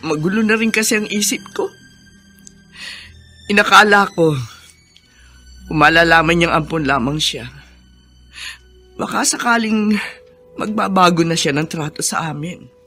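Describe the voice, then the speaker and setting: An actress in a Filipino radio drama playing an older hacienda housekeeper, humble and emotional. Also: female voice, on the low side, a little slow, pauses often, bright, forceful tone, faint music behind, exaggerated voice. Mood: remorseful, hurt.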